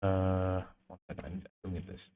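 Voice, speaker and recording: A man's voice: low voice, completely flat delivery, moderate pace, warm tone, clean tone. A young man from Indonesia, chatting casually on a livestream while he works, often mumbling to himself and trailing off. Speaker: streamer.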